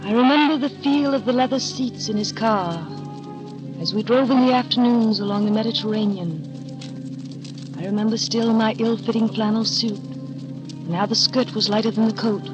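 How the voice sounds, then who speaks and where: An actress in a 1940s radio drama playing a shy nineteen-year-old companion, soft-spoken and wistful, narrating her memories. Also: a little high, normal speed, close to an everyday voice. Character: shy young woman of nineteen, paid companion. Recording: dull old radio recording with music.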